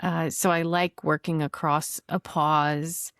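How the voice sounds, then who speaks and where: A woman's voice: low-pitched, level tone, slow pace, fairly bright tone, smooth, no room sound, clean studio sound. A woman speaking as a guest poet in casual literary conversation, with thoughtful, informal, hesitant phrasing.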